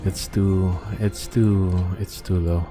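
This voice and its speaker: A man's voice: deep voice, little pitch movement, slow. A man giving casual live commentary as he streams a video game. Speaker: game livestreamer. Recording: game music behind the voice. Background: video game music.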